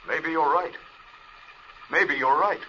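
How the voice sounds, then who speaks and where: A man's voice: on the high side, slow pace, slight background noise. An actor playing a skeptical male film hero, heard as a tinny old movie soundtrack.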